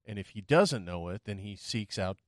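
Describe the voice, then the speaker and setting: A man's voice: lowish voice, expressive, normal speed. Man trailing off as he reflects. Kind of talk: casual chat.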